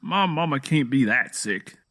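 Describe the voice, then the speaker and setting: A man's voice: medium pitch, lively intonation, a little slow, fairly bright tone. A man playing a proud, defensive, hot-tempered Southern country man in an audio drama, emotional and defiant. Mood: disbelieving.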